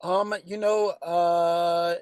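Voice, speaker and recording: A man answering questions as a screenwriter, speaking conversationally in a thoughtful, halting way. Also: high voice, level tone, darkish tone, clear, resonant tone, no room sound, clean studio sound.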